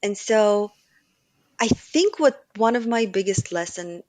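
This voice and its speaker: A middle-aged woman from Bulgaria with a Bulgarian accent, a guest on a podcast, explaining her experience in a measured, structured way. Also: mid-range voice, lively intonation, a little mellow, dry recording, rough recording. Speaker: guest, operations executive. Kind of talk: podcast interview.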